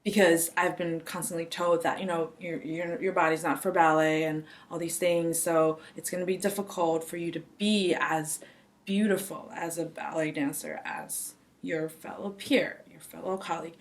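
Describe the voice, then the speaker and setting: A young woman from Taiwan, a dancer, speaking in a reflective interview with a soft, halting manner that often trails off into a whisper. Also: on the low side, fairly even intonation. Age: young adult.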